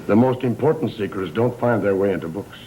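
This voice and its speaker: An actor playing a weary, fatalistic old patriarch in a gothic soap opera, speaking in grave, ominous, theatrical phrases. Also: male voice, lowish voice, fairly quick, highs cut off, background noise, close to an everyday voice. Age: older. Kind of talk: 1960s-70s gothic soap opera acting. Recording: old TV soundtrack with background music.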